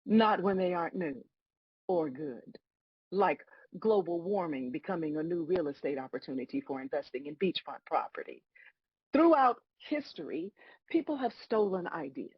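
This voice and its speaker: A woman playing God as a wry, patient, bemused celestial judge, explaining things in a measured way at a table read of a comedy. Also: fairly low pitch, animated, breathy edge, dry recording, highs cut off. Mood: lofty, instructive.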